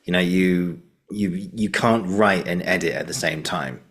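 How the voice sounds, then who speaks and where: A man's voice: deep voice, measured pace, fairly bright tone. A man hosting a casual interview, informal and encouraging, often repeating himself for emphasis. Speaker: podcast host.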